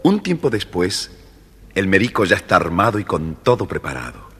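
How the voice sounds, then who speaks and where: Deep-voiced male fairy-tale narrator. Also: normal speed, faint music behind. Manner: expressive storyteller. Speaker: storybook narrator. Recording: old record, long reverb, faint noise.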